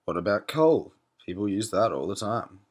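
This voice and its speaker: A man's voice: lowish voice, sing-song, moderate pace. A man playing a naive, anxious and questioning spaceship captain in an educational cartoon. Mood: alarmed, puzzled. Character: clueless, anxious male spaceship captain, curious learner. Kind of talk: scripted animated educational dialogue.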